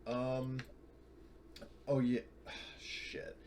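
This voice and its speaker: A man's voice: mid-range voice, completely flat delivery, very slow, a little mellow, slight background noise. A man playing a tabletop roleplaying game online, chatting casually and thinking aloud hesitantly.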